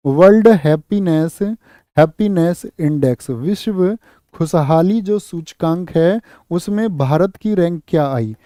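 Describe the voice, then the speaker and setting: A man's voice: high-pitched, warm tone, dry recording, studio quality. A man teaching current affairs for a competitive exam, asking questions and answering them himself, repeating each key fact and calling listeners "saathi". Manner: calls listeners "saathi" and "bhaiya". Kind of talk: quiz-style current affairs lesson.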